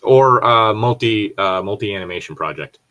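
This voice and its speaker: Low-voiced man rambling casually. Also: animated, normal speed, bright, forceful tone, highs cut off. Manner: rambling, freely swearing. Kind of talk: casual chat.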